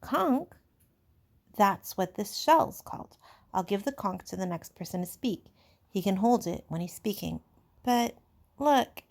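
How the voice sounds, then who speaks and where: A woman's voice: mid-range voice, expressive, unhurried, vocal fry, pauses often, darkish tone. A woman voicing an earnest, fair-minded schoolboy leader in a storybook, with emphatic speech-making. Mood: authoritative. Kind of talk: storybook character voice.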